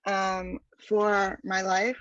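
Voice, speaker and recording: A woman's voice: mid-range voice, level tone, very slow, a bit bright, no echo. A woman joining a workshop over a video call, speaking in a plain, matter-of-fact way. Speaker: workshop participant. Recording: dry, muffled video-call audio.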